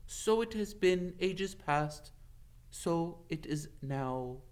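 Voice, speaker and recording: Guy doing a sad dreamy centaur from a storybook, grave, really slow, sighs and talks all cryptic. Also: a little high, animated, smooth, voice pushed up. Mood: mournful, faraway. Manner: slow, sighing, solemn, cryptic. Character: sorrowful, dreamy male centaur, grave and aloof.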